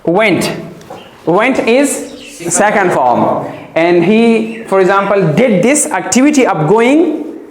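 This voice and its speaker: High-voiced man teaching in an echoey classroom. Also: very animated, slow. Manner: didactic, repeats key phrases, checks with "okay?". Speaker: English teacher. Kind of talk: classroom grammar lesson, explaining and questioning pupils. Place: indoor classroom with pupils.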